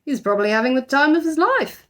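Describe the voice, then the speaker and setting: A cautious middle-aged family man from a storybook, voiced by a woman reading. He gives in easily and talks in a hesitant, measured way, with lively rises and falls. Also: sits high, pitched above the performer's own voice. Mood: grumbling.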